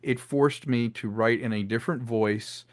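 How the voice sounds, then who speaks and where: A man's voice: mid-range voice, normal speed, no echo. A director and writer in a casual interview, talking about his audio drama and slipping into voiced quotes. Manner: animated, slips into voiced quotes, laughs between thoughts.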